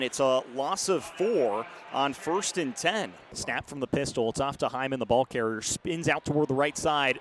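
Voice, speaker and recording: A man in his twenties calling a football game on radio in clipped, descriptive phrases. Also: on the high side. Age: 20s. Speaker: play-by-play football announcer. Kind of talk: live radio sports commentary. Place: live at a football stadium. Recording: loud stadium background noise. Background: stadium ambience and noise.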